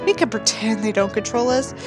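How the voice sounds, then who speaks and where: A woman's voice: on the high side, a little fast, music in the background, natural voice. A woman reading a novel dramatically as a self-absorbed, brooding young narrator, earnest and melodramatic.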